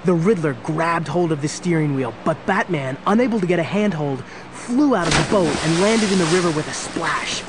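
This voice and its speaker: An actor performing as the narrator of a superhero audio drama, telling it in a polished, suspenseful scripted style. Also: male voice, a little high, lively intonation, moderate pace, few pauses, background noise, lightly acted. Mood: dramatic. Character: dramatic male storyteller of a superhero adventure. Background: dramatic music.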